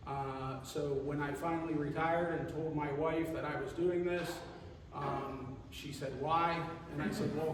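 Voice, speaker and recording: A man in his fifties, a retired police officer, introducing himself casually and with dry humor at a public forum. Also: fairly high pitch. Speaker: township trustee candidate, retired police officer. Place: large echoing public hall. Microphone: distant microphone. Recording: echoey hall from far off, faint noise.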